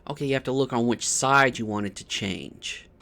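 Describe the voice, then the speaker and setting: A man's voice: mid-range voice, moderate pace, gravelly, bright, forceful tone. A man doing casual game stream commentary, thinking aloud and often repeating words as he puzzles things out.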